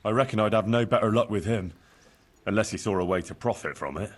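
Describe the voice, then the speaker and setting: An actor playing an earnest, cheeky young medieval village lad in love, bashful and plain-spoken, in a video game. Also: male voice, lowish voice, quick pace, close to an everyday voice. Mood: sheepish. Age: young adult. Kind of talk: naturalistic video game dialogue acting.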